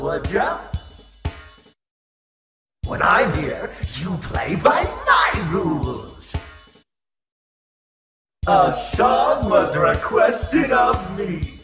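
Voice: medium pitch, vocal fry, echoey room, heavily acted. An actor voicing a menacing musical robot villain in a video game, theatrical, sing-song and domineering. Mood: bossy, threatening.

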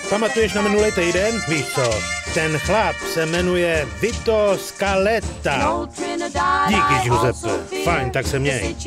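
An actor playing a confident, streetwise young gangster pal in a dubbed game, casual, slangy and self-assured. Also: male voice, a little high, lightly acted. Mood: smooth, businesslike. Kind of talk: dubbed video game cutscene acting.

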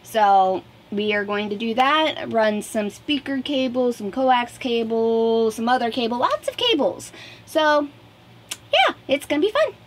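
30s woman doing a casual diy vlog, voice a bit on the high side. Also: a little hiss or hum. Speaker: vlog host. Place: small wood-panelled boat cabin indoors.

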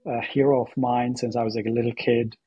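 A man from Syria speaking as a podcast guest in a conversational, halting way, repeating words as he thinks. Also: medium pitch, flat delivery, mellow, breathy edge, no echo, dull audio. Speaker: podcast guest, AI startup co-founder. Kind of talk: conversational interview storytelling.

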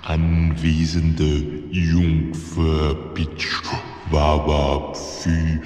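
Solemn deep wedding officiant, kids radio play, halting, big echo, music faintly behind. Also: male voice, level tone, darkish tone, exaggerated voice. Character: solemn, ponderous master of a mock wedding ceremony. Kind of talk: 1970s German children's radio play. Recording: echoey hall, long reverb.

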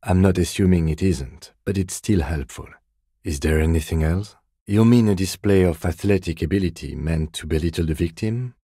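A meticulous male police detective from a video game, with an extremely low, mellow voice. He is deadpan and restrained, measuring out each remark with dry sternness. The voice is slightly husky. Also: moderate pace, dry recording. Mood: businesslike. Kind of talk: scripted game dialogue.

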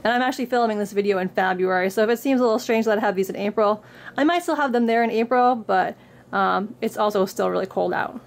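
A woman's voice: medium pitch, fast. A young woman getting over a cold, casually showing viewers what she carries in her bag. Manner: reads book excerpts with upbeat lift, then chats plainly. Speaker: craft vlogger. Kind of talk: casual show-and-tell. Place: indoor room against a plain backdrop.

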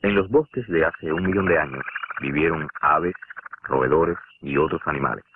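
A man with a Cuban Spanish accent giving measured, scripted documentary narration for a cartoon. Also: a bass voice, background noise. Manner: measured, explanatory. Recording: tinny lo-fi narration over music.

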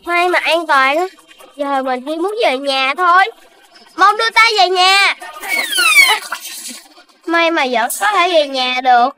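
A performer voicing an excitable young puppy boy in a children's cartoon, talking in a childlike, exclamatory way. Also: high voice, takes it slowly, long pauses. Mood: relieved. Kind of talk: animated children's cartoon dubbing.

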